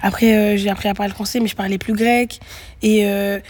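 Young woman guest, husky voice, reflective and hesitant, at a studio mic. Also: medium pitch, level tone, fairly quick, a little mellow. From Greece. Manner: thoughtful, many hesitations and restarts. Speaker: guest musician. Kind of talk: reflective radio interview answers.

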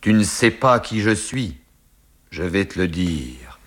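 Pompous, slow-spoken gentleman acted by a man. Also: sits low, very animated, breathy edge, heavily acted. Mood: grand, solemn. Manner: formal, grandiloquent, deliberate. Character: pompous paternal gentleman, grandly self-important.

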